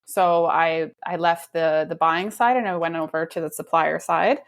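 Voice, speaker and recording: A woman in her thirties being interviewed on a podcast. Her voice sits a bit low and she talks in an easy, relaxed way, laughing lightly and filling pauses with ums. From United States. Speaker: realtor guest. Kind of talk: casual interview chat.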